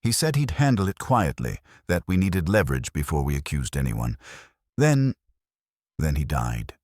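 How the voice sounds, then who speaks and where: A man's voice: rumbling low, sing-song, normal speed, a little mellow, no echo, studio quality, full character voice. A man voicing a guarded, guilt-ridden woman in an audiobook, subdued and confiding in a resigned way. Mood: guarded, regretful. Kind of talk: audiobook character dialogue.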